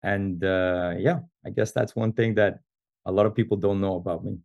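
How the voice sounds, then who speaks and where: A man's voice: sits low, steady tone, moderate pace, creaky, dry recording, lo-fi sound. Venezuelan man, dry podcast sound. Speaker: interview guest, restaurant co-owner. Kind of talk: conversational storytelling.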